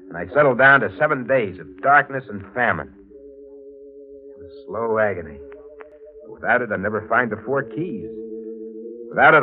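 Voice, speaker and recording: A man's voice: mid-range voice, close to an everyday voice. A man acting an obsessed, murderous narrator in a 1940s radio thriller, intense and dramatic. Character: obsessed murderous man narrating his crimes, fanatical and menacing. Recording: muffled 1940s radio recording with loud music.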